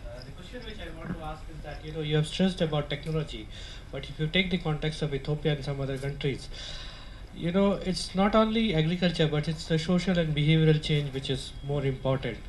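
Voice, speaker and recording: A man in a conference audience asking a deliberate question. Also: a little high, slight background noise, poor audio. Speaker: audience member.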